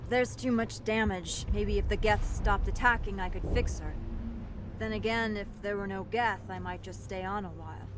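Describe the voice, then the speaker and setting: A woman's voice: medium pitch, normal speed, background noise, lightly acted. Guarded, worn-out female freighter survivor, acted by a woman, hesitant, understated, mid-range voice, video game. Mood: weary, resigned. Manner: hesitant, evasive, resigned. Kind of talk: naturalistic video game dialogue.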